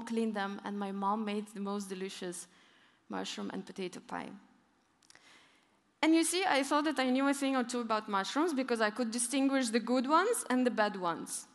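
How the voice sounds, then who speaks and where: A young woman giving a conference keynote on stage, telling stories and leaning hard on her key points. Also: fairly high pitch. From northern Europe, Arctic region. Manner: storytelling, lively emphasis on key points. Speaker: keynote speaker. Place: large conference hall on stage. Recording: long hall reverb.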